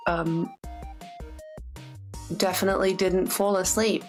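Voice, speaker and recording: A woman streamer in casual chat with her viewers, bubbly and rambling with many fillers and restarts, tired and lower-voiced from jet lag. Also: level tone, takes it slowly, darkish tone, soft background music.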